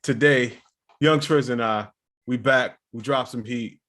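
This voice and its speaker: A man's voice: medium pitch, a little slow, a bit bright. A man co-hosting a podcast, chatting casually in slangy, laid-back banter. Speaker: podcast co-host. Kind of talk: casual chat.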